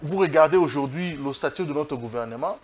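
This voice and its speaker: A man with a Congolese French accent, speaking as a political guest in an interview, arguing emphatically and haltingly, often repeating his phrases. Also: on the high side. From Democratic Republic of the Congo. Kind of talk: political interview, spoken argument.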